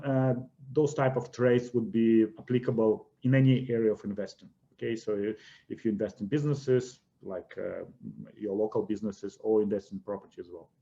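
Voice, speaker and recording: A man's voice: mid-range voice, warm tone. A middle-aged man from Russia, speaking English with a Russian accent as a second language, giving an investment webinar lecture over slides, explaining steadily and often saying "I presume" and "okay". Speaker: investment course presenter. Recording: slightly dull lo-fi webinar audio.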